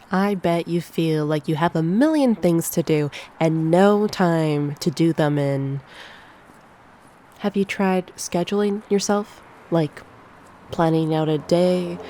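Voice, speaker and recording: A woman playing a succubus college teacher with a low voice and a slow, soft, teasing way of talking. She is nurturing and speaks close to the microphone in an ASMR roleplay, over clearly audible background noise. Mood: earnest, encouraging. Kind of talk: ASMR roleplay, one-sided conversation. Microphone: close binaural microphone.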